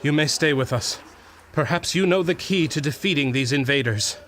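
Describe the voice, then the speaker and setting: An actor playing a guarded, formal sentry of a hidden people in an audio drama. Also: male voice, on the high side, moderate pace, fairly bright tone, slight background noise, natural voice. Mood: hopeful. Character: wary but hopeful male sentry of a hidden people.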